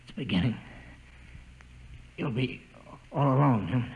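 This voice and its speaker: An actor playing a doomed scientist in a 1950s radio drama, speaking in a grave, halting, dramatic way. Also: male voice, mid-range voice, lively intonation, creaky, a little mellow. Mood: weary, fearful. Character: doomed scientist crewman, grimly resigned to mankind's end. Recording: noisy old radio recording.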